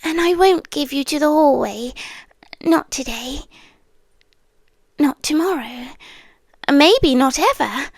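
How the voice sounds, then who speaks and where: A woman voicing a lonely, eerie trapped woman who is half a monster. She speaks slowly and softly, often in a breathy whisper, with coaxing intimacy. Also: sits high, dry recording, clean studio sound, exaggerated voice. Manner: slow, soft and intimate, coaxing. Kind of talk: second-person audio drama.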